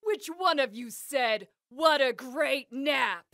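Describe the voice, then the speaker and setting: Imperious high-voiced lady pirate captain. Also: expressive, takes it slowly, a bit bright, exaggerated voice. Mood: suspicious, angry. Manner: haughty, commanding, threatening. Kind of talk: anime-style manga dub acting.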